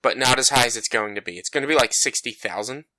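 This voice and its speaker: Bright-voiced rambling podcast guy. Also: quick pace, no room sound, low-quality recording. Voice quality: bassy voice. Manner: rambling, self-deprecating, lots of fillers. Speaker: podcast co-host. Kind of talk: casual chat. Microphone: home podcast mic.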